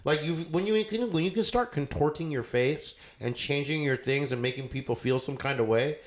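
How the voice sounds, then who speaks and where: A middle-aged man with an American accent, a podcast host talking excitedly in a joking and profane way, often cutting in, on a handheld mic. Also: fairly high pitch, moderate pace. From born in India. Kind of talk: casual chat. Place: living room podcast set. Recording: roomy living room sound.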